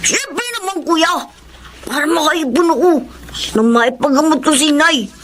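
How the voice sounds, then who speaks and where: A meek little boy in a Filipino radio drama. The voice is high and innocent, with polite, hesitant delivery and faint noise behind it. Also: a little slow, a bit bright. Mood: worried, earnest. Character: poor, meek, dutiful young boy.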